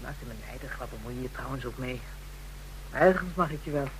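A woman's voice: a bass voice, fairly quick, a little hiss or hum, poor audio. An actress playing a coarse, brazen, spiteful call girl in a radio drama, talking in crude, taunting slang. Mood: taunting, grudging.

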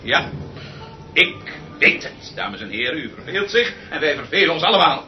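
An actor playing an imperious, exasperated, eccentric orchestra conductor in a radio play, with a pompous, theatrical manner that swings from muttering to shouting. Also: male voice, fairly high pitch, sing-song, normal speed. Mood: imperious, impatient. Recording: dull recording with noticeable hiss.

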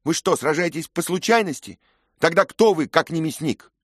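A proud young noblewoman, scornful and accusing, her voice pitched high and loud with sharp, lifting anger, as a male reader puts her on. The recording is dry and close. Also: lively intonation, normal speed, no echo. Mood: scornful, furious. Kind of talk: audiobook character reading.

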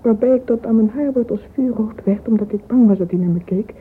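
Actress as self-absorbed young woman, radio play. Confiding, breathy, whispery inner voice, thin noisy recording. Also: a little high, brisk, close to an everyday voice. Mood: dreamy, rapt. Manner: intimate, confiding. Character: dreamy, vain, catty romantic young woman musing privately. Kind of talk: radio drama interior monologue. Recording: tinny, hissy old radio recording.

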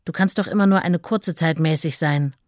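An actress playing a deeply hurt, resolute estranged wife reading her letter in a radio drama, measured and earnest. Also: a little mellow, dry recording, studio quality, lightly acted. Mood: firm, reserved.

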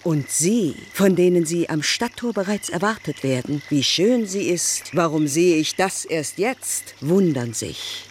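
A woman playing a storyteller of an ancient biblical tale, reciting in a halting, repetitive chant for radio drama. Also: low-pitched, slight background noise. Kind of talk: literary radio play narration.

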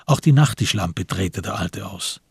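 Husky, gravelly low-voiced male narrator. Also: expressive, unhurried, dry recording, clean studio sound. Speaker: narrator of a radio drama. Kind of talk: scripted literary narration.

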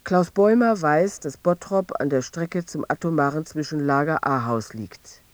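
A man narrating a radio drama as a detached narrator, in a measured, matter-of-fact way. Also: on the high side, no echo, lightly acted. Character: detached male narrator telling of a troubled teacher.

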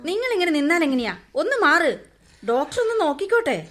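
A brisk, bossy hospital nurse. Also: female voice, very high voice, very animated, fairly bright tone, heavily acted. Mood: scolding. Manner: curt, scolding.

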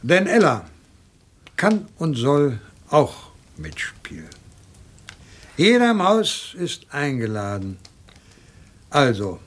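An actor playing an older, eccentric colonel in a radio crime drama, genial and boastful, savouring his words in a theatrical, deliberate manner. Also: a little high, husky, full character voice.

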